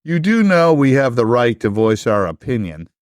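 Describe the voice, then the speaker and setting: A man playing an anxious, theatrical history book puppet in a stage puppet musical, with melodramatic, drawn-out delivery. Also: mid-range voice, sing-song, no echo, close to an everyday voice. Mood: indignant. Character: dramatic, anxious old-fashioned history book puppet, male.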